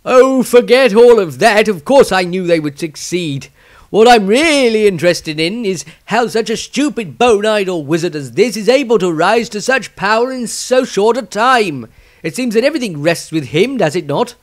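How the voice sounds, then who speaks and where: A man's voice: high-pitched, lively intonation, normal speed, pitched above the performer's own voice. A man voicing a younger male wizard who mocks others and laughs through his words. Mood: scornful.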